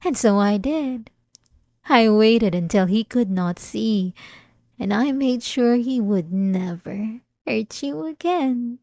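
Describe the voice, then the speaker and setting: A woman playing an obsessed young maid in a scripted ASMR roleplay, devoted and quietly menacing, with formal, composed, intimate delivery. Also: fairly high pitch, lively intonation, takes it slowly, no room sound, studio quality. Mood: cold, resolute.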